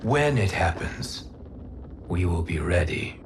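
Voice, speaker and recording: Upright game hero, grave, slow, widely inflected, noisy ambience behind. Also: male voice, low voice, animated. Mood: suspicious. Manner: grave, deliberate. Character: wary, upright, resolute male hero. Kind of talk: video game cutscene acting. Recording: clear ambient noise behind the voice.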